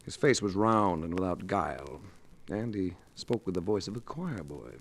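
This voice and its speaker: An American actor playing a suave, wry private investigator, narrating in cool, dry 1950s hardboiled radio style. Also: male voice, deep voice, animated, moderate pace, creaky, heavily acted. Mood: dry narration. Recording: slightly noisy old radio recording.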